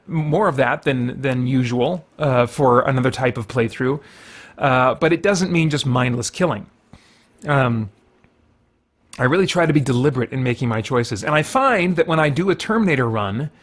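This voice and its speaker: A man giving casual gameplay commentary as a host, explaining his reasoning and thinking aloud as he goes. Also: medium pitch. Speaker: host of a gaming video series.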